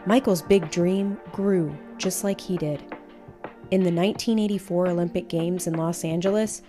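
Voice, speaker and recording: A woman reading a children's picture book aloud as a storyteller, in an expressive, measured way. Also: on the low side, faint music behind.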